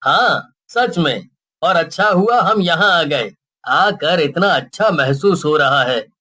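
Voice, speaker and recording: Man as a high, bright-voiced cartoon dad. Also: animated, takes it slowly, heavily acted. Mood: pleased. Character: cheerful, easygoing family father in a cartoon story.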